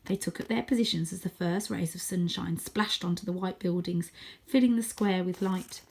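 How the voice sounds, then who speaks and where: A woman reading a children's story aloud in a dramatic manner, dropping to a hushed near-whisper for suspense. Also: on the low side, normal speed, vocal fry.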